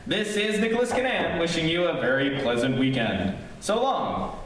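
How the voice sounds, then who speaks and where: A man's voice: fairly high pitch, very animated, moderate pace, a bit bright. A man announcing in 1940s radio style, reading sponsor copy and credits. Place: live student stage performance of a radio show. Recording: echoey, distant room sound with noise.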